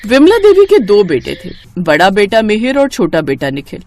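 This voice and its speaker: A woman's voice: mid-range voice, huge pitch swings, normal speed, darkish tone, a little hiss or hum. A woman reading scripted narration for a comic moral tale, as a storyteller.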